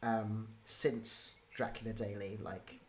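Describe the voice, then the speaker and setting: A man's voice: fairly low pitch, little pitch movement, takes it slowly, a little hiss or hum. A man hosting a casual interview, talking in an informal, rambling and enthusiastic way. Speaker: interview host.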